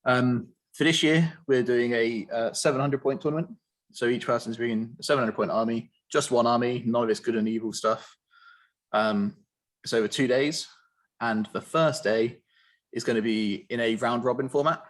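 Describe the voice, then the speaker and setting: A man hosting an online interview, explaining a tournament in a relaxed, filler-heavy way. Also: mid-range voice, moderate pace. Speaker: host.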